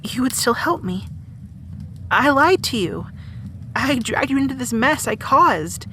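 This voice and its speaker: A woman's voice: high-pitched, expressive, unhurried, pushed and bright, slight background noise, heavily acted. A woman playing an earnest, determined young heroine in an audio drama, speaking with emotion. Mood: guilty, sorrowful. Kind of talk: amateur audio drama acting.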